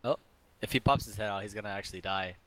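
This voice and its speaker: A man with an American accent, co-casting a game match with rambling, hedging analysis, quick to laugh. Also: fairly low pitch, fairly bright tone, slight background noise. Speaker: esports caster. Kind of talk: casual game analysis commentary.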